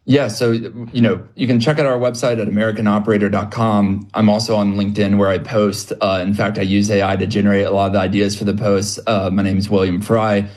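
Young man, interview guest, earnest explainer, slightly low voice. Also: level tone, brisk, few pauses, darkish tone. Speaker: guest, business owner.

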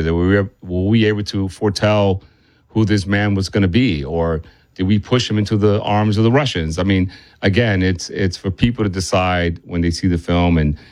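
A man's voice: low-pitched, darkish tone, no echo. A man speaking conversationally as a film director being interviewed, often circling back and repeating himself.

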